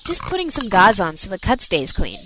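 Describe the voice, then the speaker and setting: A woman playing a brisk, caring companion who teases matter-of-factly in an amateur radio drama. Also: medium pitch, huge pitch swings, a bit bright. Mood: brisk, teasing. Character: brisk, teasing, caring companion of a hedgehog hero. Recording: noisy amateur recording.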